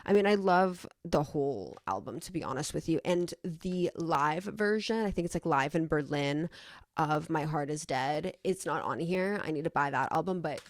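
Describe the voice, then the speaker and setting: A woman's voice: low-pitched, expressive, normal speed, no echo. A young American woman rambling casually as a vlogger, effusive and sweary in how she talks. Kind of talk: casual rambling chat to camera.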